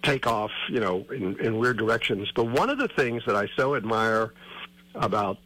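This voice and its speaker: A man with a husky, sharp-edged voice rambles thoughtfully through a casual radio chat, leaning on "you know" as he goes. He sounds like a chatty co-host working out his ideas. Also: on the low side, animated, moderate pace, low-quality recording. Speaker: co-host, newsletter writer. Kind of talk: casual conversation.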